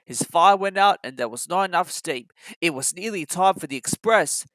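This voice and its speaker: A narrator reading a children's story aloud in an expressive, scripted style. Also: medium pitch, normal speed, no room sound.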